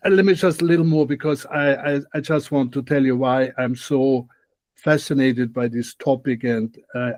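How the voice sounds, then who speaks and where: A man's voice: mid-range voice, darkish tone, dry recording. An older man from Austria with an Austrian accent, a guest expert answering questions thoughtfully on a video call, often restarting his sentences. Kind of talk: interview answers. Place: home room, video call.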